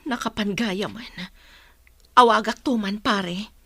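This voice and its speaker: A woman's voice: medium pitch, slow, bright, forceful tone, slightly rough, no room sound, heavily acted. A woman playing a caring, long-suffering middle-aged mother in a radio drama. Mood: concerned.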